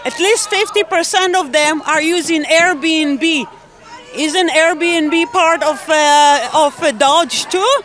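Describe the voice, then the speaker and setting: A woman's voice: high-pitched, slow, a bit bright, some chatter behind. An older woman from Israel, interviewed as a passerby on a city street, speaking in an outspoken, indignant way.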